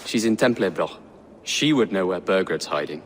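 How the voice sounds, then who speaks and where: A young actor playing an earnest, anxious young Saxon prince who speaks in a hurried, unsure way, in a video game cutscene. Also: male voice, low-pitched, animated, a little hiss or hum. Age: young adult.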